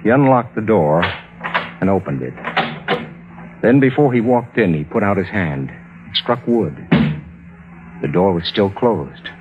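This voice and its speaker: A man's voice: sits low, lively intonation, a little slow, pauses often. A man narrating a suspense story as a grave storyteller in dramatic mid-century radio style. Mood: measured suspense. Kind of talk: dramatic scripted narration, 1940s-50s radio. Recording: tinny, band-limited old radio recording, loud music.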